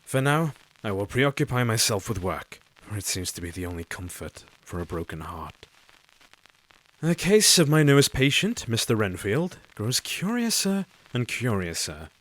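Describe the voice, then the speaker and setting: An actor playing a lovelorn young doctor who narrates his diary and questions a patient, earnest, formal and measured. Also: fairly low pitch, big pitch swings, a bit bright, rough recording. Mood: brooding. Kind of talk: audio drama narration.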